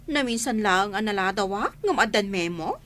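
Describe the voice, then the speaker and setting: A woman's voice: medium pitch, lively intonation, moderate pace, fairly bright tone, natural voice. A woman with an Ilocano accent playing a sassy, resentful office worker in a radio comedy drama. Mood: accusing.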